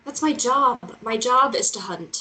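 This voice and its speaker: Woman acting young reporter lady in a table read, driven n exasperated, bright sharp voice, bit high. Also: lively intonation, unhurried. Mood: insistent.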